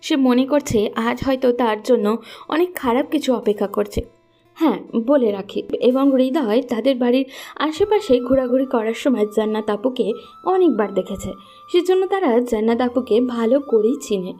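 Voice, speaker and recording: A woman narrates a scripted story. Her voice is on the high side and mellow in tone. Gentle music runs faintly behind her. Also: expressive, normal speed.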